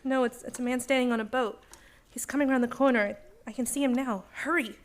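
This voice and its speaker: An actress playing an anxious, loyal young secretary in a radio suspense play, urgent and earnest. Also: female voice, high voice, steady tone, fast, slight background noise, natural voice.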